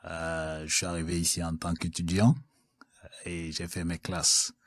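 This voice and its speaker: A man from Cameroon, answering interview questions as a guest in a measured way with frequent filler sounds. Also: low voice, flat delivery, no echo, clean studio sound. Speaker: guest, mining executive.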